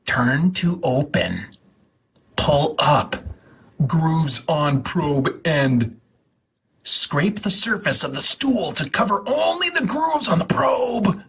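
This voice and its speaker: Husky, theatrical man reading instructions slowly. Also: on the high side, very animated, fairly bright tone. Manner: theatrical, deliberate, repeats words for emphasis. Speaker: host reading out an instruction guide. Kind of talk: mock-serious read-aloud of medical kit instructions.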